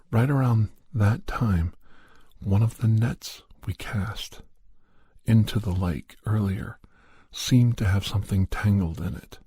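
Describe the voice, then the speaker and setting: Low husky guy doing asmr scary story, soft n unhurried, kinda halting, super dry close sound. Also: no echo. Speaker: podcast host and storyteller. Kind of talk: ASMR first-person horror storytelling.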